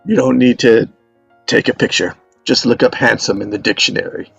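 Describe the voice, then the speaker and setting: A wry old father , acted by a man, with a gravelly voice, telling tall tales at an unhurried, deadpan pace. The sound is close and dry, with faint music underneath. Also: mid-range voice, no echo, lo-fi sound. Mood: wry, teasing. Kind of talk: dramatic script table read.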